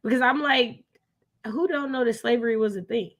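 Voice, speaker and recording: A woman's voice: a little high, a little fast, fairly bright tone. An American woman chatting casually about books as a co-host, opinionated and emphatic, often repeating phrases to drive a point home. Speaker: co-host of a book readalong live discussion. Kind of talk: casual, opinionated book chat.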